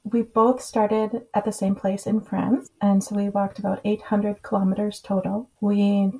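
A woman from Minnesota, a Spanish teacher as a podcast guest, talking in a relaxed, conversational way. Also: medium pitch, measured pace, creaky, rough recording. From Minnesota, United States. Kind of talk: podcast interview.

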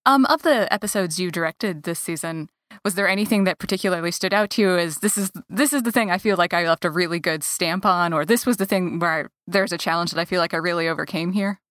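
A woman's voice: lowish voice, fast talker, fairly bright tone, no echo, clean studio sound. A woman interviewing on a podcast in a casual, conversational way.

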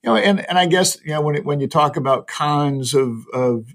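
A man's voice: a little high, normal speed, a bit bright. A man hosting a business podcast, asking long questions with many uhs and repeated words. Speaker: podcast host. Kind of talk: casual interview questions.

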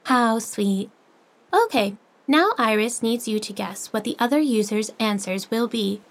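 A high-voiced, chirpy female AI host from an audio drama. She is relentlessly upbeat and scripted, like a game host working through set phrases. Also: expressive, measured pace. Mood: cheerful, polite. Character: chirpy artificial intelligence matchmaking host, female.

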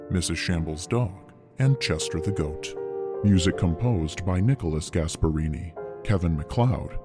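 A man reading the scripted end credits of an audio drama as announcer. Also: deep voice.

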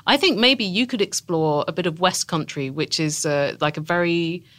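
A woman's voice: lowish voice, normal speed, fairly bright tone. A woman from England with a southern English accent, a guest trading dry, witty remarks in a casual podcast chat.

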